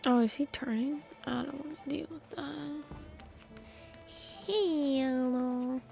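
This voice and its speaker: A woman's voice: high-pitched, takes it slowly, creaky, darkish tone, faint music behind. A woman game streamer giving casual, playful, sweary commentary on a playthrough.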